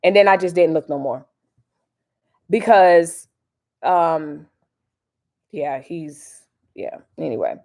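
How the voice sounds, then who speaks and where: An American woman with a fairly low voice hosts a casual livestream. She rambles in loose, folksy slang, drifting between commentary and replies to her viewers. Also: takes it slowly, pauses often, darkish tone. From Miami, United States. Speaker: livestream host. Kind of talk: casual live chat with commentary.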